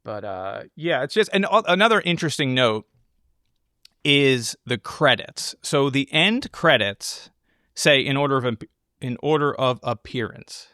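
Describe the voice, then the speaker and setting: A man's voice: mid-range voice, expressive, unhurried, sharp tone, no echo, studio quality. A man hosting a casual film discussion, thinking aloud in rambling, speculative explanations. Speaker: podcast host analyzing a film.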